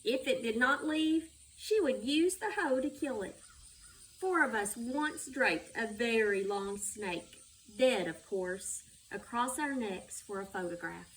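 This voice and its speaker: A woman's voice: a little high, lively intonation, takes it slowly. A woman reading a children's story aloud. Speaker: school superintendent reading a children's book. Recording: distant microphone with background noise.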